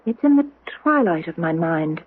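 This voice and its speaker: An actress playing a troubled young Roman woman haunted by visions of doom, in a dramatic, halting, foreboding old-time radio style. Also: fairly low pitch, lively intonation, a little hiss or hum, lightly acted. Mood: uneasy, foreboding. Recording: muffled vintage 1970s radio broadcast.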